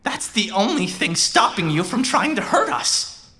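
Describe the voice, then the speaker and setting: A woman's voice: mid-range voice, big pitch swings, unhurried, pushed and bright. A woman playing a bitter, possessive digital mind trapped without a body, brooding and taunting in a cyberpunk audio drama. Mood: accusing, menacing.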